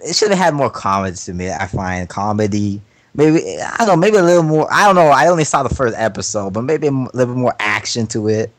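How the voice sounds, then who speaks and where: A man with a mid-pitched voice talks casually as a podcast member. He rambles in slangy, sweary phrases, often doubling back and repeating himself. The sound is dry, with very little room. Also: lively intonation, no echo. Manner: slangy, profane, halting with frequent self-repetition. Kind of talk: casual chat, rambling opinion.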